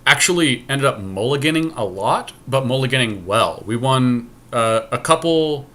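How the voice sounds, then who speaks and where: Bright, forceful man co-hosting a podcast. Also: mid-range voice, expressive, normal speed. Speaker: podcast co-host. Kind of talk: casual, unscripted commentary.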